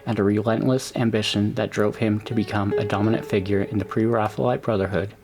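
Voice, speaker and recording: A male narrator with a rather low voice and a noticeable gravelly grain reads a documentary script. His delivery is measured and even. Music plays clearly beneath him. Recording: voice-over with music behind.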